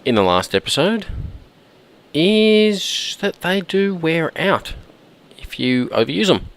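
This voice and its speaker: A man with a hoarse, croaky voice from a cold, chatting casually while he plays and often clearing his throat. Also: on the high side, big pitch swings, slow. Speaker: gameplay commentator. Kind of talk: casual let's-play commentary.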